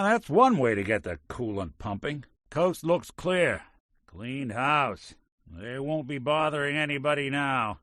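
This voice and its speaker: A man's voice: fairly high pitch, bright, forceful tone, dry recording, full character voice. A man voicing a weary, wry, good-hearted robotic private detective as a video game companion, with dry hardboiled delivery.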